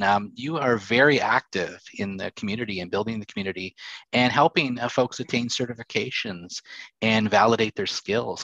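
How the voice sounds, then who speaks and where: A man with a fairly low voice moderates a panel in a conversational style. The sound is dry, with hardly any room to it. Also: a bit bright, no room sound, rough recording.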